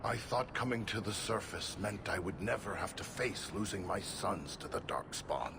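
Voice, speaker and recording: A gravelly, indignant dwarf merchant father. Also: deep voice, lively intonation, normal speed, noisy background. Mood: desperate, grieving. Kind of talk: video game voice acting.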